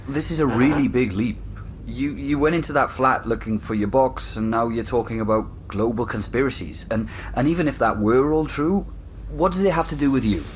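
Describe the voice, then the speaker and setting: A down-to-earth man, played by an actor in a naturalistic audio drama. He is doubtful and wry, feeling his way through objections in a mid-pitched voice. Ambient noise can be heard behind him. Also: brisk, natural voice. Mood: skeptical. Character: skeptical, down-to-earth man, teasing friend.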